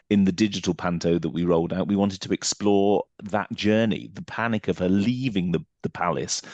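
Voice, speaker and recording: Male podcast guest, low register, structured and emphatic explainer, dry studio-like sound. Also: darkish tone, dry recording, dull audio. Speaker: theatre company managing director, podcast guest. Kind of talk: thoughtful interview talk.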